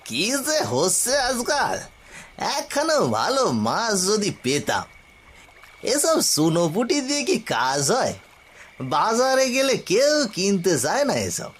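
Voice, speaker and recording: A man's voice: sits high, wildly swooping pitch, a little slow, fairly bright tone, full character voice. A man voicing a village fisherman who sells fish at market in a Bangla cartoon. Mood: grumbling.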